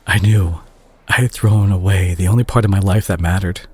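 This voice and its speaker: A tender, guilt-laden ex-boyfriend as acted by a man in an intimate romantic audio play. His voice sits on the low side and is notably breathy and husky. He speaks haltingly, with remorse and open emotion. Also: darkish tone. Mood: bitter regret. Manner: halting, emotional, with sighs and repeated words. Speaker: audio roleplay performer.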